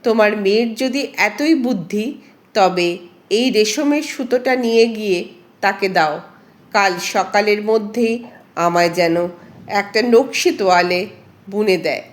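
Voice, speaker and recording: A woman's voice: mid-range voice, measured pace, pauses often, lightly acted. A woman voicing a demanding king who gives testing commands in a folk tale. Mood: commanding.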